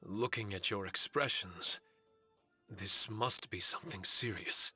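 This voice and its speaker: Solemn, bright low-voiced spirit warrior. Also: male voice, husky, no room sound, heavily acted. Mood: grave. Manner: formal, measured, earnest. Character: solemn, courteous male shikigami spirit warrior with fragmented memories. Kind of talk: anime game voice acting. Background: faint music.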